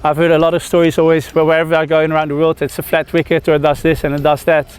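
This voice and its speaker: A man's voice: fairly high pitch, completely flat delivery, very fast, smooth. A man in his thirties from the Netherlands, a cricketer giving a press interview outdoors, hesitant with many fillers and restarts.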